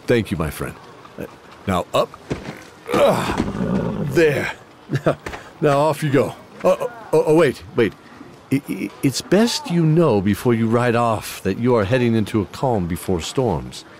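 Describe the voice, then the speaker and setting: A man's voice: mid-range voice, sing-song, measured pace, noisy background. A man playing a genial fantasy guide who hosts an audio drama, theatrical and folksy, with hesitant asides. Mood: fussy, earnest. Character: genial male guide sending adventurers off. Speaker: host and storyteller. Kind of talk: in-character fantasy audio drama host narration. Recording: music behind the voice.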